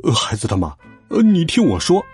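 A well-meaning, bumbling little father, as a male narrator plays him in a children's audio story. He is fussy and hesitant, with pitch that moves up and down a lot. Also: wildly swooping pitch, a little fast, a little mellow, soft background music. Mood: concerned, hesitant.

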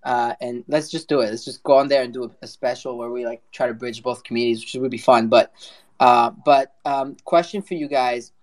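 A man's voice: medium pitch, fairly quick. A man hosting a casual live audio panel, informal and prone to swearing. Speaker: host.

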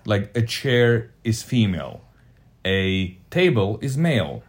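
A man's voice: lowish voice, sing-song, slow pace. A man co-hosting a podcast with blunt, emphatic and scornful casual banter. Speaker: podcast co-host.

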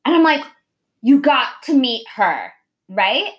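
A woman's voice: high-pitched, very animated, slow. A woman hosting a podcast in casual, candid conversation, blunt and swearing freely, acting out imagined dialogue as she talks. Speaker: podcast host.

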